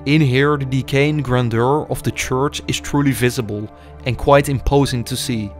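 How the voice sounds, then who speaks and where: Young man, measured documentary voice-over, middle pitch, faint background music. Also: steady tone. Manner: measured, explanatory delivery. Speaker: urban explorer narrator. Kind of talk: scripted documentary narration.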